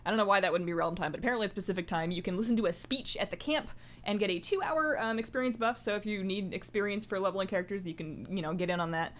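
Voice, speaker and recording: A woman's voice: mid-range voice, fast. A woman chatting casually to camera as a gaming vlogger at her desk, with playful asides and self-interruptions. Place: indoors at a home desk.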